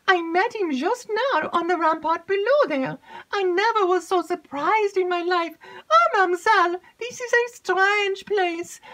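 A woman voicing an excitable, chattering young maidservant who gushes out news in a breathless, high voice. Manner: breathless, gushing, high-pitched.

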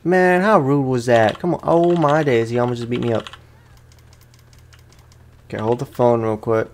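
A man with a mid-pitched voice gives casual commentary as he plays a video game. He is chatty and playful, talking in loose slang as the host of a let's play. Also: moderate pace, slight background noise.

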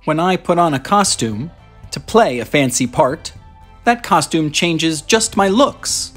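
An American man in his thirties hosting a children's read-aloud show, speaking to young viewers in clear, simple phrases as he presents and reads from a script. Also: on the high side, animated, unhurried, fairly bright tone, reverberant, soft background music. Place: small furnished room indoors.

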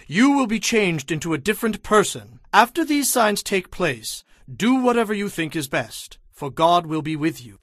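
Commanding old prophet, high-pitched, declamatory, deliberate, solemn, audio Bible drama, dry studio sound. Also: male voice, fairly bright tone, dry recording.

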